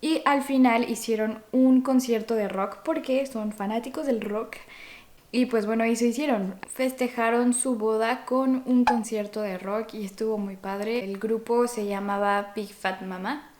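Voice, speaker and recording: A woman's voice: on the high side, steady tone, normal speed, darkish tone, a little hiss or hum. A young Mexican woman, a native Spanish speaker with a Mexican accent, chatting informally to viewers as a vlog host. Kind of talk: casual talk to viewers.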